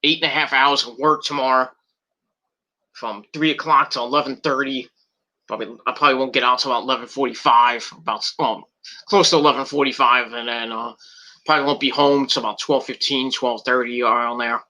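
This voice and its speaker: A man's voice: mid-range voice, steady tone, a little fast, bright, forceful tone. A middle-aged man with a cold and a tickling cough, rambling casually in a vlog with many fillers and restarts. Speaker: wrestling video reviewer.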